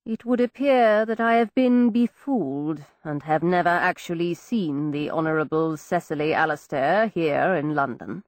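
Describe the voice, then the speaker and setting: Female narrator as aloof young gentleman detective, measured and lofty, dry studio sound. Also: sits low, dry recording, pitched below the performer's own voice, close to an everyday voice. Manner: slow, cool, superior.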